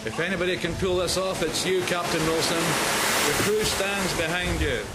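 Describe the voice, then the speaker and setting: A roar of sea noise surrounds a loyal, anxious crewman, acted by a man. He speaks in a high voice, playing his part in a storm drama. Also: fairly even intonation, lightly acted. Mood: loyal, steady. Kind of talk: amateur historical audio drama acting. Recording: very loud rushing sea noise.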